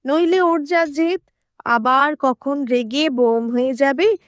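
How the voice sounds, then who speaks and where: A cheerful, motherly aunt talks in a high voice, stretching her words slowly. She teases fondly, in audio drama style, and sounds close and dry. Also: no room sound. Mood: amused, teasing. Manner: drawn-out, teasing, motherly. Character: cheerful teasing middle-aged aunt.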